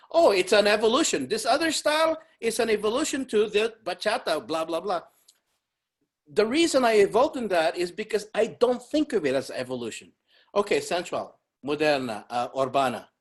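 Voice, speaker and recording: A man from the Dominican Republic, a podcast guest talking casually, rambling with many fillers and laughing often. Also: high-pitched. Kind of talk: casual chat.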